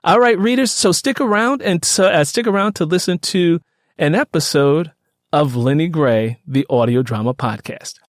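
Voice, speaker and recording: Halting male podcast host, dry sound. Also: on the high side, no echo. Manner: halting, repetitive phrasing. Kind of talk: conversational interview hosting.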